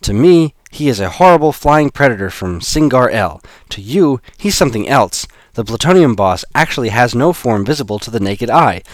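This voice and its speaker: A man voicing an exhausted captive young woman with a hoarse voice. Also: mid-range voice, expressive, normal speed, few pauses, no room sound, voice pushed up, lightly acted. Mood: urgent.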